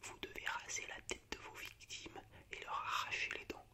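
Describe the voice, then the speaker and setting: A man speaking in a breathy, unvoiced whisper as an ASMR host, unhurried and a little hesitant. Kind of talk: whispered ASMR, reciting film monologues.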